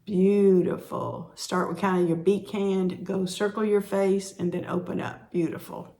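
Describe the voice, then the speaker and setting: Slow-talking woman teaching sign language. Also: mid-range voice, level tone, warm tone. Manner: repeats words slowly while demonstrating signs. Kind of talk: slow instructional explanation.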